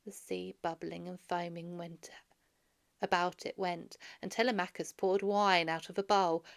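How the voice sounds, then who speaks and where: A woman's voice: fairly low pitch, flat delivery, measured pace, gravelly, clear, resonant tone. A woman reading a story aloud for children as a narrator. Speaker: storybook reader.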